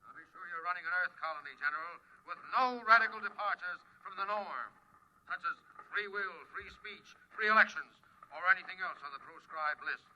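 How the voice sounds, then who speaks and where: An actor playing a domineering, militaristic inspector from Earth over a radio link, curt and officious in a 1950s radio drama style. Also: male voice, high voice, brisk, pushed and bright. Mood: officious, menacing. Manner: imperious, curt, officious, commanding. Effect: radio transmission. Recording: dull old radio recording, clear static noise.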